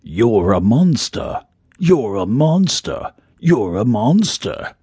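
A man's voice: medium pitch, sing-song, dark tone, breathy edge, no echo, pitched above the performer's own voice, heavily acted. A man voicing a mysterious disembodied presence in a storybook, whispery, teasing and repeating itself. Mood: taunting. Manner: slow, teasing, repetitive.

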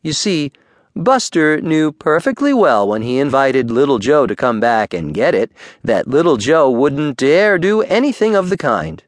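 A man narrating a children's bedtime story audiobook with expressive, deliberate storytelling. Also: fairly high pitch, no room sound, studio quality.

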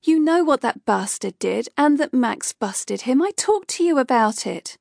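A woman's voice: high voice, animated, unhurried, creaky, no room sound, studio quality, pitched above the performer's own voice, full character voice. A woman voicing a frustrated, outspoken young woman who scolds her boss. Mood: scolding. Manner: forceful, scolding, exasperated.

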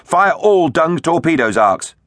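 A bold and heroic dinosaur captain of a storybook starship, acted out by a male reader. He is decisive and commanding. The pitch is lifted above the narration and moves in big swings. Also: very animated, moderate pace, a bit bright, no echo, clean studio sound, full character voice. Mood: shouted urgency.

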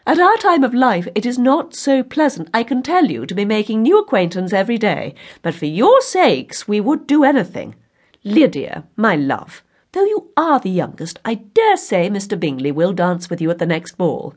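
A woman voicing an excitable middle-aged mother in an audiobook, fretful and gushing, with a shrill, dramatic, exclaiming manner. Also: moderate pace, slightly rough. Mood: gushing delight. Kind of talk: audiobook character acting.

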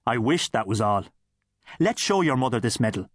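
A man voicing a calm, plain-spoken middle-aged father in an audiobook. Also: mid-range voice, normal speed, no echo, studio quality.

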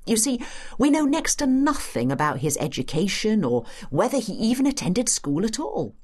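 A woman's voice: medium pitch, very animated, moderate pace, darkish tone, breathy edge. A woman playing a knowledgeable tour guide in a scripted educational audio drama, explaining history to a companion. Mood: instructive, assured. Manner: instructive, explanatory, addressing a companion.

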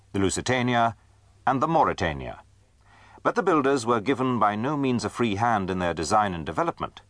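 A man's voice: fairly low pitch, a bit bright, no room sound. A man narrating a dramatised history from a script.

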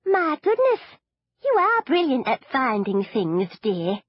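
A bubbly old granny who swoops high and low through every sentence and relishes bad news with fussy, excitable glee, as a woman reader puts her on. Her voice is a little breathy and soft-edged, and it is recorded close, dry and slightly dull, like a studio audiobook. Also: slow pace, dry recording, full character voice. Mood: delighted. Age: older. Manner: fussy, sing-song, excitable. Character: cheerful chatty grandmother, fond of disasters.

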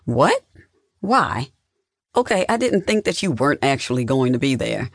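A narrator voicing a brash, nosy, excitable younger sister who talks in a sassy, vehement way. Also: mid-range voice, quick pace, no room sound, clean studio sound. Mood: pushy, surprised.

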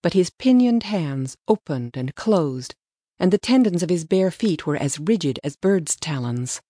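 A woman narrates an audiobook story from a script. Her voice is low for a woman and recorded dry and close, with little room sound. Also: animated, a little slow, dark tone, no room sound.

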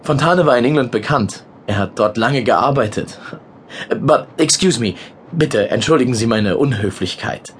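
An actor playing a polite, talkative war correspondent, hesitating, apologizing and slipping into English words in an audio drama. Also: male voice, medium pitch, moderate pace, a little hiss or hum, natural voice. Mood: chatty, eager.

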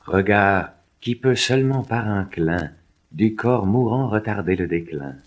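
A man's voice: lowish voice, lively intonation, a little slow, dull audio. A man giving a solemn, declamatory recitation of literary poetry. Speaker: poetry reader.

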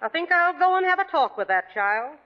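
Prim stern teacher, formal, super noisy muffled old radio. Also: female voice, very high voice, lively intonation, a little fast. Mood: stern, inquiring. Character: prim, stern young schoolteacher. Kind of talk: 1940s radio drama acting.

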